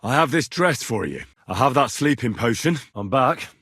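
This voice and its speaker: Young male medieval adventurer for a video game: polite, plucky, husky, animated delivery. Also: medium pitch, moderate pace, no room sound, studio quality. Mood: conversational. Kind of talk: video game character dialogue.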